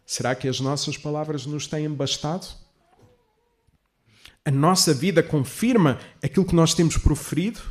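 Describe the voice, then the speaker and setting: A male pastor. He explains step by step in a measured, teacherly manner, stressing key words and posing rhetorical questions to his listeners. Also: fairly high pitch. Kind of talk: sermon, expository preaching to a congregation.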